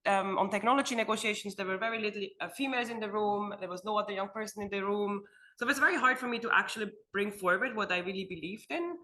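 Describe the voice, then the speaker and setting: A woman's voice: mid-range voice, bright, forceful tone. A young woman from Switzerland giving an earnest panel talk in a conference room, often using fillers like "um" and "like". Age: young adult. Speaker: panel speaker. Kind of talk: roundtable talk.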